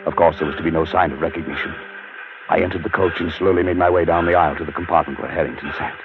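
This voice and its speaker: A dread-ridden man with a deep voice, recounting his own doom. His delivery is theatrical and deliberate, in the manner of old radio horror. The recording is dull and aged, with music behind it. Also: fairly even intonation. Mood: tense. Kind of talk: vintage radio horror acting, first-person narration.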